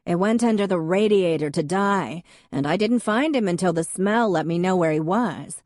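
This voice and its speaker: A woman reading an audiobook, voicing a blunt older woman with a booming voice. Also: moderate pace, darkish tone, no room sound, studio quality, natural voice.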